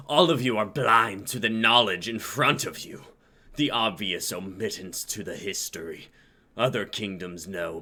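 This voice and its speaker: A man voicing an ancient, vengeful dragon king in a dramatic reading, with a breathy growling whisper and grandiose speech. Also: sits low, bright, forceful tone, heavily acted. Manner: slow, grandiose, archaic speech.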